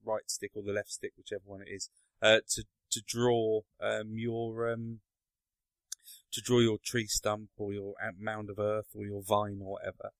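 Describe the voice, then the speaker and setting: A man's voice: fairly low pitch, little pitch movement, normal speed, clean tone, no room sound. A man hosting a casual podcast chat, talking hesitantly with many ums and restarts. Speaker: podcast host.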